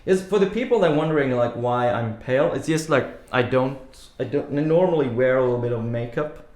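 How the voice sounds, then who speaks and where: A man's voice: mid-range voice, brisk, darkish tone. A man hosting a live coding stream, chatting casually and rambling with lots of filler words. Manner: rambling, many "like" fillers, laughs easily. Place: home room. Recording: echoey room.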